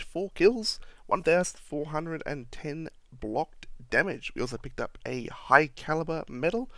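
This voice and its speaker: A man with a slightly croaky voice, giving a lively play-by-play voice-over commentary on a video game as the channel's host. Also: a little high, slight background noise.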